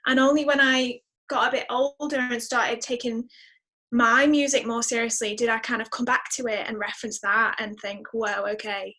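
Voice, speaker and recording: A woman's voice: fairly high pitch, steady tone, moderate pace, bright, forceful tone. A woman talking casually as an interview guest, reflective and enthusiastic about music. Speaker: guest singer-songwriter.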